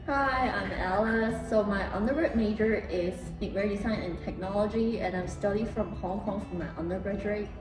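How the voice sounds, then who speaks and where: Measured young non-native guest, mid pitch, distant mic, music behind. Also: female voice, roomy sound. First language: Chinese. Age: 20s. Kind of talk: casual Q&A answers.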